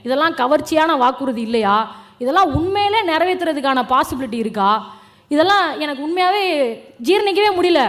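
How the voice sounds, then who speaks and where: A young woman with a Tamil Nadu accent giving a fiery, colloquial political stage speech, challenging and combative, throwing out rhetorical questions. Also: high-pitched. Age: young adult. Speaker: political speaker.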